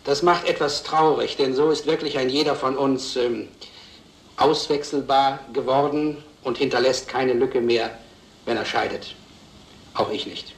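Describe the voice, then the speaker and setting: An actor playing a wry, melancholy gentleman making a formal, reflective speech in a radio drama. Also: medium pitch, steady tone, measured pace, roomy sound, lightly acted, poor audio. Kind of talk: radio drama acting, after-dinner speech.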